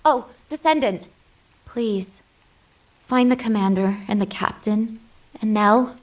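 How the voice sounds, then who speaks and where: An actress playing a nervous young rookie cadet in a video game, formal, earnest and hesitant. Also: female voice, medium pitch, takes it slowly, low-quality recording. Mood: nervous, dutiful. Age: young adult. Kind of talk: game briefing dialogue.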